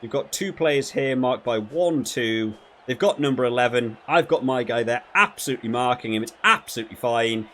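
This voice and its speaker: A man giving casual, rambling commentary while playing a video game, often halting and repeating his words. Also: mid-range voice, fairly bright tone, a little hiss or hum. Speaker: video game reviewer and player.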